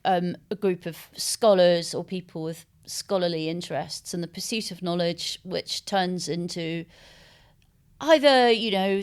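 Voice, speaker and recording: Female interviewee, writer. Lowish, smooth, resonant voice, reflective conversational delivery with searching hesitations. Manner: thoughtful, halting, searching for words. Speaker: interviewed novelist. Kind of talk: literary interview, unscripted conversation.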